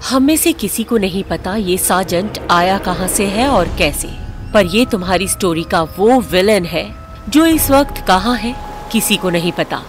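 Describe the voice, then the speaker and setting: A woman's voice: mid-range voice, expressive, normal speed, close to an everyday voice. A woman playing a stern, haughty female ghost in a horror-comedy audio drama. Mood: grave. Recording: background noise behind the voice.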